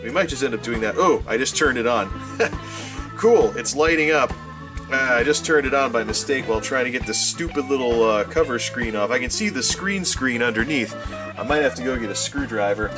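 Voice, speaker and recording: Guy doing a casual gadget unboxing, average pitch, rambles and cuts himself off, music going behind him. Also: animated, fairly quick. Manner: rambling, self-interrupting, thinking aloud. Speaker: gadget unboxing video host. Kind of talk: casual unscripted product unboxing commentary. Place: indoors at a desk, small room.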